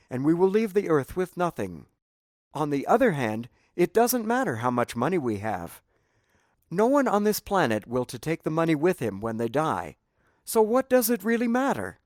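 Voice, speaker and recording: Animated Cambodian-accented man reading his inspirational memoir. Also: fairly high pitch, dry recording, clean studio sound. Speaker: author reading own memoir. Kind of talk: scripted inspirational narration.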